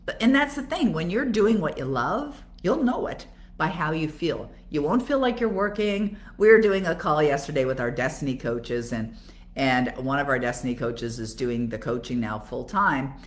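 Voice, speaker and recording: A woman's voice: deep voice, expressive, normal speed. An older woman with a Canadian accent, speaking conversationally as a coach on a live Q&A and answering her own rhetorical questions as she explains. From Toronto, Canada. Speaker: coach and author hosting a live Q&A.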